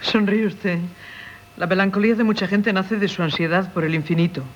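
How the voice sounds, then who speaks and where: A woman's voice: mid-range voice, fairly quick, natural voice. An actress playing a melancholy married woman yearning for the sea, dramatic and wistful, in Castilian Spanish radio drama. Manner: dramatic, wistful, theatrical delivery. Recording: dull, noisy old radio broadcast.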